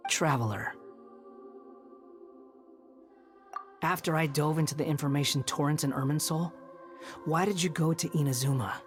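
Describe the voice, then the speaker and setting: A man's voice: fairly high pitch, brisk, lightly acted. An actor voicing a bitter, proud young male former villain in a video game, with a dry, aloof manner. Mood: aloof, determined. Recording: background music under voice.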